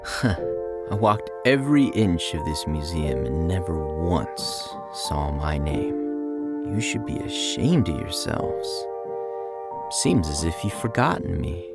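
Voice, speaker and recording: An actor playing a bitter, boastful, forgotten screenwriter, delivering a pompous, aggrieved comedy monologue. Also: male voice, deep voice, sing-song, slow pace, gravelly, full character voice. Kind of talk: scripted comedic monologue as a review. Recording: loud music behind the voice.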